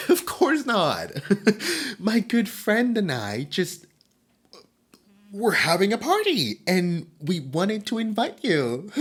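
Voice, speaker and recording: A man's voice: high-pitched, wildly swooping pitch, slow pace, husky, exaggerated voice. A man voicing a nervous, lonely demon host who stammers and giggles through an audio roleplay. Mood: awkward, giggly.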